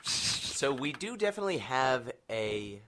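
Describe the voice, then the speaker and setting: A man's voice: medium pitch, big pitch swings, unhurried, sharp tone. A man chatting casually about video games in an emphatic, playful, bantering way. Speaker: podcast guest, gaming marathon runner.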